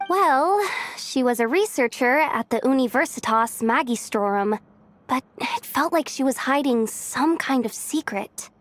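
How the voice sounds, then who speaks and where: A woman voicing a brisk, chatty young woman informant in a video game. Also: high-pitched, lively intonation.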